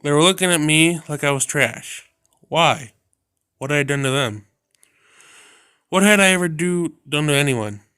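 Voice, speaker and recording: A man reads a visual novel aloud in a smooth, resonant voice. His telling stays subdued and steady. The sound is close and dry. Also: fairly high pitch, normal speed, pauses often, dry recording. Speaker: video game narrator.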